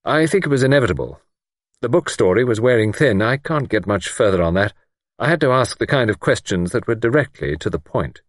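An easygoing young sleuth, as a male narrator voices him, dry and unhurried in his talk. The pitch still moves with some liveliness. The sound is close and dry. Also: lively intonation, no echo, natural voice. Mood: thoughtful.

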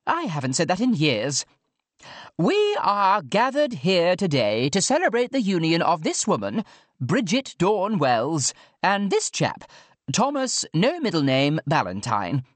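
A man's voice: huge pitch swings, unhurried, no echo, full character voice. A breezy posh English dowager swooping grandly through a storybook speech at a country-house party, acted out by a male narrator. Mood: jolly, ceremonious. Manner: plummy, booming, blithely theatrical. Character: jolly posh loud older woman, brazen and breezy. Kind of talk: audiobook character dialogue.

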